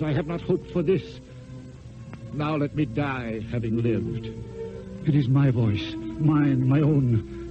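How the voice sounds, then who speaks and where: An actor playing a passionate, eloquent poet wooing in secret, in lyrical theatrical declamation with dramatic pauses. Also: male voice, fairly high pitch, natural voice. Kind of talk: mid-century theatrical classical stage acting. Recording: dull old radio recording with music.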